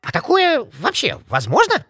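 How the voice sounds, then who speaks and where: A woman's voice: high-pitched, huge pitch swings, moderate pace. A woman voicing a carefree, cheeky young male rabbit in a cartoon, breezy, colloquial and curious. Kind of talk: animated cartoon dialogue.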